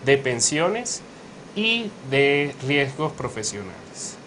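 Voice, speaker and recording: A young law professor with a Colombian Caribbean coast accent speaks in a measured, explanatory way and often fills his pauses. His voice sits at a middle pitch. Faint ambient noise hangs behind him. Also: big pitch swings, fairly bright tone. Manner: measured, with frequent hesitations and fillers. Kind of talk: academic interview explanation.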